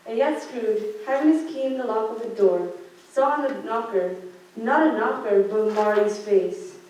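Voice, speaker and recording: A woman's voice: fairly high pitch, moderate pace, a little mellow, close to an everyday voice. A young woman student reading scripted story narration for a radio play. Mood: narrating. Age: teenager or young adult. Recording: echoey room, far mic, background noise.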